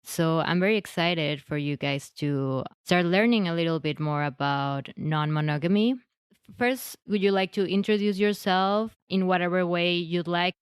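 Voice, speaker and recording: Podcast host, woman, Mexican accent, low smooth voice, casual and a bit halting when asking questions. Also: no room sound, studio quality. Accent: Mexican Spanish. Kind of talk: podcast intro and interview.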